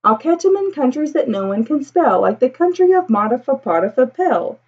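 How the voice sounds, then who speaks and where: An American woman in her thirties reads a picture book aloud to children, expressively and with drawn-out emphasis. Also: high voice, breathy edge, highs cut off. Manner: animated storytelling with drawn-out emphasis. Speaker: elementary school teacher reading aloud. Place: small room at home with bookshelf.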